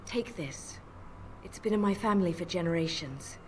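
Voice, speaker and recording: An actress playing a proud, formal noblewoman in a fantasy video game, commanding and measured. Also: fairly low pitch, lively intonation, darkish tone, slight background noise, lightly acted. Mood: gracious.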